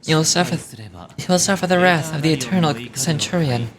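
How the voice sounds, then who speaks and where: A woman's voice: low voice, normal speed, crowd noise, voice pushed down, close to an everyday voice. A woman voicing a stern, sanctimonious male religious judge from a video game, speaking formally and measuredly. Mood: stern, solemn. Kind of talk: reading game dialogue aloud in character.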